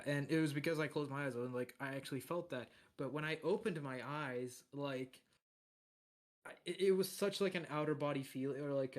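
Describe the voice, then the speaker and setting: A man with a deep voice co-hosting a podcast, rambling in casual chat with lots of fillers and restarts. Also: darkish tone. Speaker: podcast co-host.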